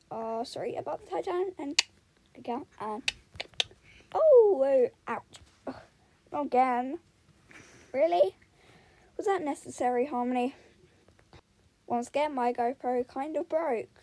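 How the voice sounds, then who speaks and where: Young woman, slow-paced, slightly high voice, casual riding commentary, short soothing commands and praise to a horse. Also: vocal fry, mellow, slight background noise. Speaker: horse rider in a voiced role-play video. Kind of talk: casual vlog commentary with coaxing asides to a horse.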